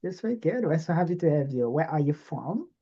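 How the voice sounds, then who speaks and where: A woman's voice: low voice, animated, brisk, dark tone, breathy edge. A woman hosting an English practice session, giving short, encouraging prompts. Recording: muffled lo-fi online call audio.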